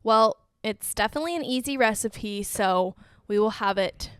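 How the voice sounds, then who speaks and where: A woman's voice: on the high side, normal speed, fairly bright tone, smooth, no room sound, clean studio sound. A young woman co-hosting a casual podcast, chatting freely with many fillers. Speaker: podcast co-host. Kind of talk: casual chat.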